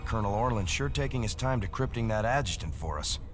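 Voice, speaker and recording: A man playing an earnest, cautious military officer in a video game, briefing in a serious, measured manner. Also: expressive, lightly acted. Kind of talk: video game character acting. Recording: game music and engine rumble behind.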